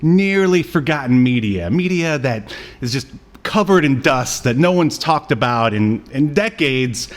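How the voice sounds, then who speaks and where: A man's voice: mid-range voice, lively intonation, unhurried. A man in his late thirties co-hosting a podcast in casual chat, with a jokey, wry manner. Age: late 30s. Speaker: podcast co-host. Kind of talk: casual chat about old cartoons.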